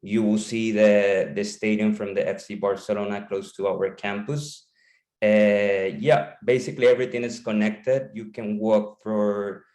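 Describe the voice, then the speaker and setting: A man's voice: fairly low pitch, a little mellow, reverberant. A man from Panama presenting his city over a video call, in an enthusiastic, loose and conversational way. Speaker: campus representative.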